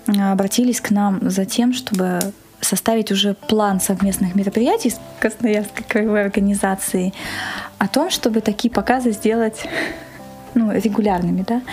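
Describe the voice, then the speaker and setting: A woman's everyday voice at a middle pitch, speaking as an interview guest. She is earnest and enthusiastic, explaining things conversationally. Faint music plays underneath. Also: a little mellow. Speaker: guest, organizer of a screening.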